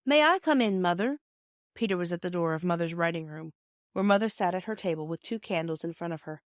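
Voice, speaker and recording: A woman's voice: on the low side, steady tone, fast, no room sound, studio quality. A woman with a British accent reading a children's novel aloud as scripted storybook narration. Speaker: audiobook reader.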